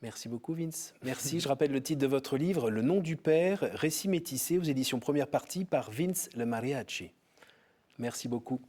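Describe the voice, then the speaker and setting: A man hosts an interview in a TV studio. He keeps his questions short and calm. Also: medium pitch, moderate pace. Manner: calm, brief prompts. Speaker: host. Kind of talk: TV interview hosting. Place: TV studio set.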